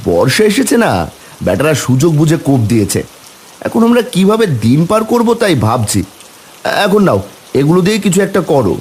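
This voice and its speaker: A man's voice: a little high, sing-song, a little fast, darkish tone, slight background noise. A man playing a poor, weary but caring village husband in a cartoon, speaking in a dramatic, exclaiming way. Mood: grumbling, worried. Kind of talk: Bengali cartoon voice acting.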